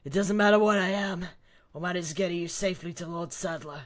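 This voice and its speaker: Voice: mid-range voice, fairly even intonation, quick pace, natural voice. A reader voicing a menacing, smug military man who sneers and commands in an amateur dramatic reading. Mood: curt, commanding. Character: menacing, smug male military villain and kidnapper.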